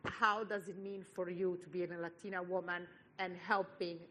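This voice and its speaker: Bright-voiced Italian-accented woman moderator. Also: on the low side, level tone, moderate pace. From Italy. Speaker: panel moderator. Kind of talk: live panel discussion.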